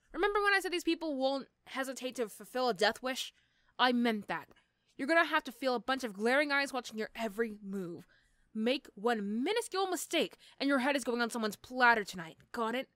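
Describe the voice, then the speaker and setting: A woman's voice: a little high, pushed and bright, dry recording, studio quality. Woman acting as a sardonic, menacing supervillainess. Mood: stern warning. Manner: sardonic, threatening, teasing. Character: menacing but begrudgingly fond female supervillain. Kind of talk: ASMR roleplay acting.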